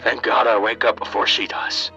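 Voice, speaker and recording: A man's voice: fairly low pitch, level tone, moderate pace, gravelly, sharp tone, muffled recording, soft background music. A man playing a desperate, unhinged husband recording a taped diary in a radio drama, stammering and panicky. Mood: bitter, rambling.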